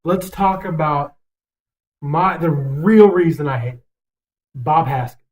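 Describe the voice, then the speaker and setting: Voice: mid-range voice, takes it slowly, poor audio. A young adult podcast co-host giving opinions in casual banter, swearing freely and rambling emphatically.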